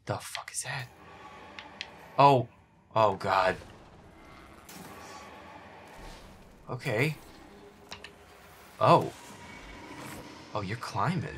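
A man playing a feisty, grumpy werewolf boyfriend who mutters and swears his way through a scary video game, often in a breathy whisper. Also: on the low side, a bit bright. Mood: startled, exasperated. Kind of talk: boyfriend audio roleplay as gameplay commentary.

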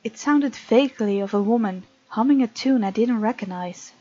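A woman's voice: fairly high pitch, normal speed, warm tone, lo-fi sound. A woman doing a let's play, reading a visual novel aloud with chatty, giggly asides.

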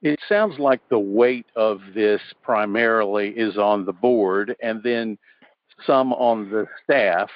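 Church radio host asking plain questions, lowish voice, muffled lo-fi sound. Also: male voice, slow, no echo. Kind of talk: radio interview. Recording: dull lo-fi sound.

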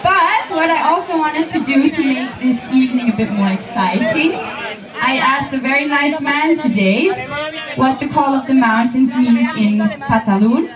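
A high-voiced woman with a Swiss German accent calls out to a packed concert crowd, her words booming through a hall PA. The sound is captured from far back in a roomy, echoey venue, with a loud roaring audience and heavy noise all around her. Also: unhurried. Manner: shouting cheerfully to the audience. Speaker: band singer addressing the crowd. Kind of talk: stage banter at a concert. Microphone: stage vocal mic through PA, filmed from the crowd.

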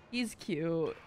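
A young woman giving casual, playful, reactive commentary as she streams a video game. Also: on the low side.

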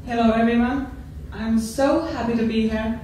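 Young woman, mellow voice. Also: fairly high pitch, fairly even intonation, moderate pace. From Finland. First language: Finnish. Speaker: pageant contestant. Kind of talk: self-introduction. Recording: echoey hall from far off, faint noise.

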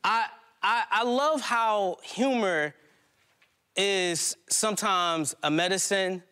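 A middle-aged man delivers an autobiographical monologue live on stage in a halting, conversational way. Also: high voice, fairly bright tone. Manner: conversational, halting, prone to chuckling. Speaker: poet and storyteller on stage. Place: indoor community hall stage before a small audience.

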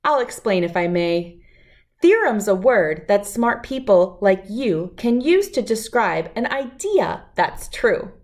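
A middle-aged woman reading a rhyming picture book aloud to children in an expressive, deliberate, lilting way. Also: mid-range voice. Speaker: children's read-aloud host.

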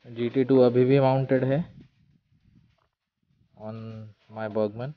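Slow-paced Indian man vlogging casually. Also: mid-range voice, flat delivery. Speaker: motovlogger. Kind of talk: casual vlog commentary in mixed Hindi and English. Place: outdoors on rural trails and roads, while riding a scooter. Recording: slightly dull outdoor recording with vehicle noise.

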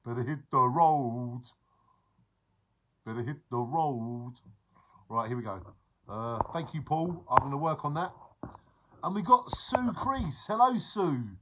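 A man in his forties livestreaming to viewers, talking fast and excitably and breaking into song, filmed on a phone held close in a room. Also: mid-range voice, background noise. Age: 40s. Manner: excitable, sings snatches and repeats phrases. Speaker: vlogger. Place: indoor room beside a fish tank. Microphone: phone held close at arm's length.

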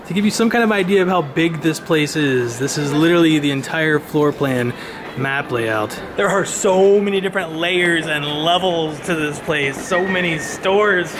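A man in his thirties vlogging casually, excitable and wisecracking, filming himself at arm's length in a large train station. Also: a little high, normal speed. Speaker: travel vlogger. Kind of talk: casual vlog narration. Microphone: handheld camera at arm's length. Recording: loud crowd noise behind. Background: crowd chatter and station bustle.

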